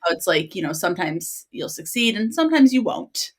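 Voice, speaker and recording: A woman with a slightly low voice talks casually as a podcast co-host. She is earnest and expressive, speaking from the heart in a conversational flow. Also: fairly quick, husky. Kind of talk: casual book discussion.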